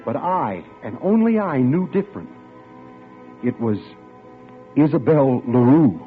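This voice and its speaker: Wry storyteller, lively pitch, tinny old radio, music behind. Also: male voice, unhurried. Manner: wry first-person storytelling. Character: wry man telling his own story. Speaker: narrator and lead of a radio drama. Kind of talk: 1940s radio drama acting.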